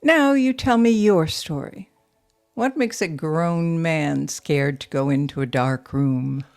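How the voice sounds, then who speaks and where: An actress playing a composed, guarded young widow who runs a steel mill, speaking in a measured, matter-of-fact way in an audio drama. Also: female voice, deep voice, a little mellow, faint music behind. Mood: probing, calm.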